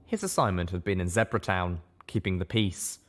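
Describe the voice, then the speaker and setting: A man reads a long fan novel aloud as an audiobook in a steady, earnest voice. His voice sits a little low for a man. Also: moderate pace. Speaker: audiobook reader. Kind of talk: audiobook narration.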